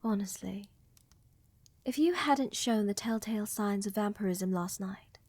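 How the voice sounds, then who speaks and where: A woman playing a tender, doting vampire countess in a soft romantic ASMR roleplay, gentle, reassuring and often whispering close to the microphone. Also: mid-range voice, no room sound. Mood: tender, attentive. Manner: gentle, reassuring, unhurried, affectionate. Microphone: close microphone, dry.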